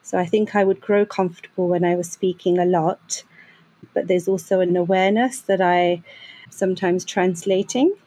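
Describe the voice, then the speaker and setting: A woman who works as a translator, speaking in a slow, measured, thoughtful way. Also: lowish voice, steady tone, dark tone, breathy edge, rough recording. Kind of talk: reflective interview talk.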